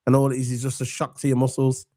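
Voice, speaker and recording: Croaky English man hosting football chat. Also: medium pitch, monotone, fast talker, no room sound, clean studio sound. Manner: chatty, rambling, gives shout-outs to viewers. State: croaky from a cold. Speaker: football podcast host. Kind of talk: casual chat.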